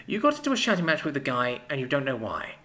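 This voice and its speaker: A man reading a story, voicing a bold, sharp-tongued young woman who teases and scolds her boyfriend. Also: mid-range voice, fast talker, voice pushed up. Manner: lively, sharp, teasing.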